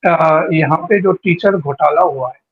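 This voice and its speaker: A man's voice: fairly high pitch, unhurried, phone-quality sound, crowd noise. A man speaking as an interview guest on politics, talkative and informal, mixing English words into his speech. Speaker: guest giving local political account.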